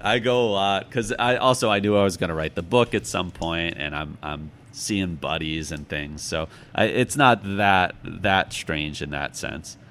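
A man's voice: low-pitched, a bit bright, slight background noise. A middle-aged man giving reflective, conversational answers as an interview guest, thoughtful and self-deprecating. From United States, Chicago.

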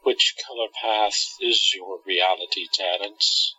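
Man playing a sharp, commanding hypnotist. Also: deep voice, steady tone, slightly rough, heavily acted. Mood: commanding, hypnotic. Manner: deliberate, commanding. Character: commanding male hypnotist with mystical powers. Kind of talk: radio drama acting. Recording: dull lo-fi, close and dry.

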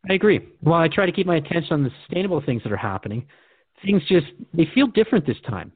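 A man's voice: a little high, lively intonation, fast talker, dry recording. A male radio host in a dramatized dialogue, earnest and reflective as he questions his partner. His intonation moves up and down a lot. The sound is close and dry, slightly dull and lo-fi.

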